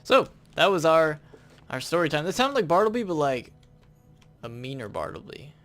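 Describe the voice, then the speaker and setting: A man's voice: on the high side, sing-song, normal speed, a bit bright, soft background music. Chatty man doing casual gameplay commentary. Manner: chatty, thinking aloud. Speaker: gaming video host.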